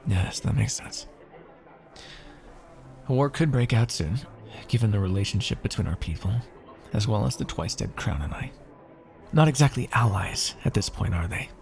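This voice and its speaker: A man's voice: fairly low pitch, creaky, darkish tone. A man playing a smug, lovestruck royal in an ASMR fantasy roleplay, with theatrical, teasing, courtly phrasing. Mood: thoughtful.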